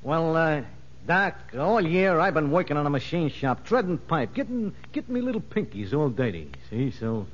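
A man's voice: a little high, sing-song, normal speed. An actor playing a menacing, boastful convict gang boss in a 1950s radio drama, bullying in tough-guy slang. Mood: smug. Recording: muffled, reverberant vintage radio broadcast.

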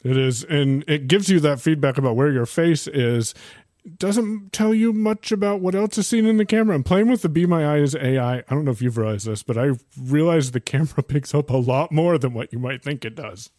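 A man's voice: fairly high pitch, a little fast, mellow, no echo, studio quality. A man filling in as guest host on a tech podcast, easygoing and self-deprecating in casual chat.

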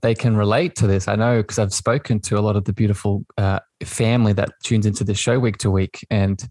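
A man's voice: on the low side, steady tone, fairly quick, no echo. A man hosting a podcast, talking in a gushing, spiritual way with his guest. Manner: warm, gushing, spiritual phrasing. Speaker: podcast host. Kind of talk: casual podcast interview.

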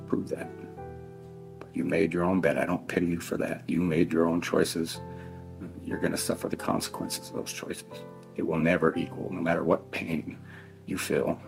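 Deep, gravelly father speaking sternly. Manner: deliberate, stern, emphatic, with heavy pauses. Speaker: bereaved father addressing his child's killer. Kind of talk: victim impact statement addressed to one person.

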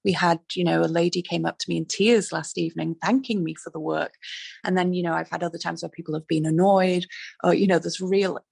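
A woman playwright with a hoarse, raspy voice, talking earnestly about her plays in an interview over a video call, with many fillers and restarts. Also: lowish voice, fairly even intonation, fairly quick, a little mellow, no room sound, clean studio sound. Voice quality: raspy, gravelly. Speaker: playwright guest.

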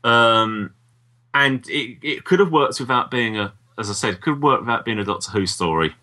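A man's voice: medium pitch, moderate pace, sharp tone. A man talking casually as co-host of a review podcast. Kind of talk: casual review chat about audio dramas.

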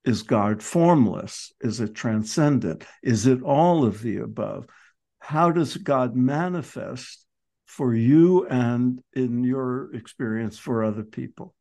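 A man hosting an interview, asking thoughtful questions in deliberate, halting short phrases. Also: medium pitch, expressive, vocal fry, a little mellow. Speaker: interview host.

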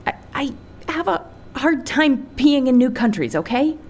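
A woman's voice: sits high, lively intonation, a little slow, breathy edge, full character voice. An actress playing a brusque, anxious, demanding woman, blunt and insistent, in a comic audio drama.

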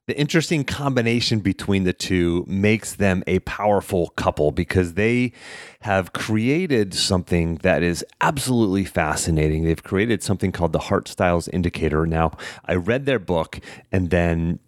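A man's voice: sits low, lively intonation, normal speed, no echo, clean studio sound. A man in his thirties hosting a podcast, reading an upbeat promo and intro and then interviewing his guests. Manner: enthusiastic promo delivery. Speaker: podcast host.

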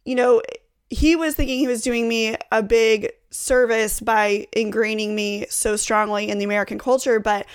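A woman's voice: fairly high pitch, fairly even intonation, normal speed, clear, resonant tone. A woman in her thirties hosting an interview, chatty and enthusiastic as she asks questions and shares her own story. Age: 30s. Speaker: podcast host. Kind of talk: casual interview chat.

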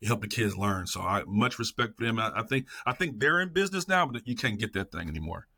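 A husky, bright-edged man's voice, middle-aged, speaks with real force in a casual interview. He leans on his points by repeating phrases, emphatic and passionate, in a fairly low register. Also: animated, quick pace. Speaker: podcast guest, educator.

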